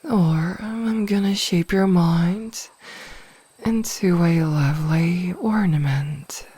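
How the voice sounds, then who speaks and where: A low, velvety-dark female mage played by a woman in a hypnotic ASMR fantasy roleplay, possessive and smugly sweet. She takes her time with every phrase, coaxing and often whispering, very close to the microphone. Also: slow, breathy edge, dry recording. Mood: teasing, doting. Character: possessive, smugly teasing adult female mage toying with a captive burglar. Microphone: close microphone, dry.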